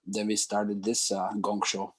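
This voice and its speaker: A man's voice: lowish voice, monotone, a little slow. A man from Croatia with a Croatian accent, speaking English as a second language as a podcast guest. He is precise about the details and has a dry sense of humor. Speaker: guest, club founder. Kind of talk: casual interview.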